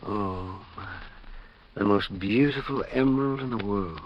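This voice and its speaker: An actor playing a meek, eccentric old hoarder in a 1950s American radio drama, fussy and dithering, repeating himself. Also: male voice, on the low side, measured pace. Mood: eager, wistful. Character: meek eccentric lonely old male hoarder, secret killer. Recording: dull vintage radio recording.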